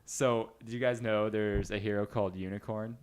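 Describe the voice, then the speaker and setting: A man's voice: lowish voice, fairly even intonation, moderate pace, a little mellow, smooth. A man hosting a podcast in casual, jokey, slangy banter with friends. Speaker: podcast host, quizmaster.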